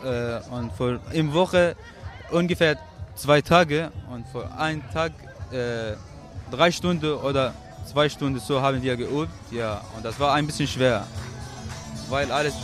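A man's voice: mid-range voice, a little slow, fairly bright tone, soft background music. A seventeen-year-old young man from Kurdistan, answering hesitantly in simple learner's German. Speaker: interviewee, young musician. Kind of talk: casual interview answer.

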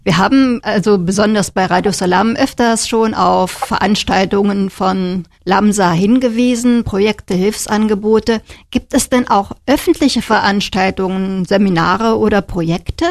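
A woman puts prepared questions as a radio interviewer. Her mid-pitched voice has a noticeable creak. The sound is very dry, with no room around it. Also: fairly even intonation, unhurried, few pauses, dry recording.

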